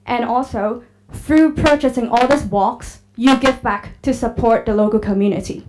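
Young woman pitching on a conference stage. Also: moderate pace, a little mellow, soft background music, poor audio. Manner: upbeat, engaging, asks the audience questions. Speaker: startup presenter. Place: large conference hall on stage. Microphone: handheld microphone.

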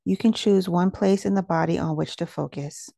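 Woman, meditation guide, dark relaxed tone, creaky edges, soothing, measured, dry recording. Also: lowish voice, no echo. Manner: slow, soothing, measured instruction.

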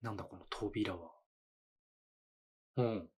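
Husky man musing through an anime reaction. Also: on the low side, takes it slowly, a little mellow. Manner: musing aloud, lots of short reactions and laughs. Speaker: anime reaction channel host. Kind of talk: casual reaction commentary. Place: small indoor studio with a sofa.

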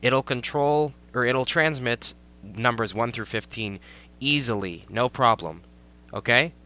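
Young male tutorial narrator, getting over a cold, bright and crisp, plainly instructional. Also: medium pitch, measured pace. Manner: step-by-step instructional, repeats "you're gonna wanna". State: recovering from a cold. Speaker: tutorial creator.

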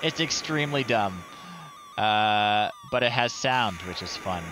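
A man's voice: lowish voice, animated, a little slow, noisy background. A middle-aged man giving casual, wry commentary on a live retro game stream. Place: small room indoors. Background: retro chiptune game music.

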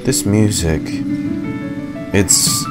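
A young man giving casual, halting, thoughtful reaction commentary as a game streamer, close on a desk microphone. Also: fairly low pitch, loud music behind the voice. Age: young adult. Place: small indoor room. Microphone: desk microphone on boom arm, close.

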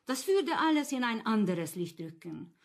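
An actress playing a sober, concerned companion in a German radio play, practical and gently reproachful. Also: female voice, a little high, very animated, normal speed.

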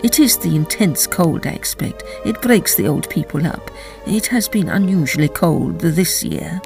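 A sympathetic banker's wife from a storybook, voiced by a reader. She agrees smoothly and offers reassurances in an even, soothing way. A gravelly edge runs through the voice, with faint music behind. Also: mid-range voice, moderate pace. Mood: placating.

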